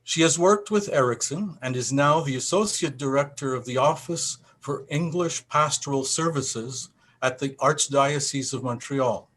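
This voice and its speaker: A man hosts an interview in a mid-pitched voice. He is formal and courteous, delivering prepared introductions and questions. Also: a little slow, a bit bright. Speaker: interviewer, festival president.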